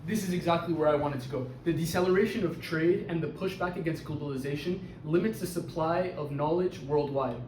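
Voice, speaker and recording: A young man playing an economist who explains things deliberately, recorded from far off in a very echoey room. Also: a little high, a little mellow, slight background noise, close to an everyday voice. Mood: explaining.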